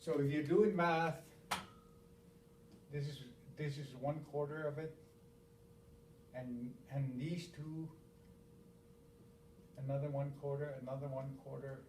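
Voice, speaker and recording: Halting Stoney-speaking man teaching, roomy and distant. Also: a little high, steady tone, background noise. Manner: repeats words, counts aloud, halting restarts. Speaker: elder teacher. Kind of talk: informal spoken teaching.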